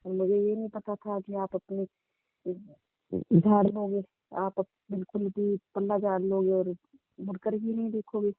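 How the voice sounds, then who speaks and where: A woman acting a wronged young woman in a phone drama, heard over a narrow, tinny line. Her delivery stays fairly flat in pitch. She is insistent and complaining, repeating her points in colloquial speech. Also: a little slow, no room sound, lightly acted. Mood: hurt. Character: aggrieved, insistent young divorced woman confronting older lover.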